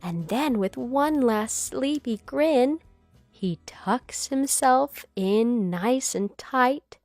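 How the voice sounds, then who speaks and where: A woman reading a children's bedtime story aloud, expressively, with gentle, drawn-out phrasing and acted emphasis. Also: fairly high pitch, expressive, no echo, faint music behind. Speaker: storybook reader.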